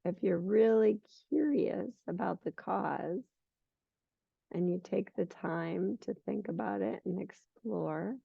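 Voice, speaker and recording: A hoarse middle-aged woman guiding a yoga class in a relaxed, unhurried way, with some fillers along the way. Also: medium pitch, no echo. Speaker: yoga teacher. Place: yoga studio. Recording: muffled lo-fi recording.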